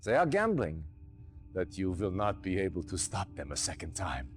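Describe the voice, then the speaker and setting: A man's voice: deep voice, very animated, normal speed, music in the background. A man playing a stern allied general who gives clipped, commanding mission briefings in a game cutscene. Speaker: military commander giving briefings.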